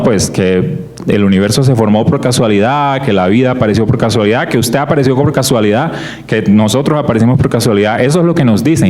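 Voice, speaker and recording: A middle-aged man with a Colombian coastal Spanish accent speaks as a guest at a church service. His voice sits at an ordinary pitch, and his delivery is conversational and unhurried, with pauses and small restarts as he explains his ministry. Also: a little mellow. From Barranquilla, Colombia. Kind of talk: church talk.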